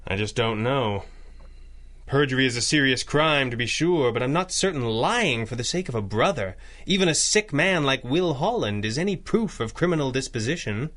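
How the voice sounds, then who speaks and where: An actor playing a calm, wealthy masked crime-fighter in a 1930s-style radio drama, articulate and dryly witty. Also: male voice, medium pitch, lightly acted. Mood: pensive. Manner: dry, measured, articulate.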